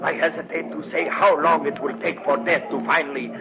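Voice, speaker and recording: A male actor playing a sinister, gloating Latin American guide and high priest, mock-courteous and menacing, in old radio drama style. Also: steady tone, brisk, crowd noise, lightly acted. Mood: gloating menace. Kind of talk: 1950s radio drama acting. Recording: noisy, muffled old radio recording.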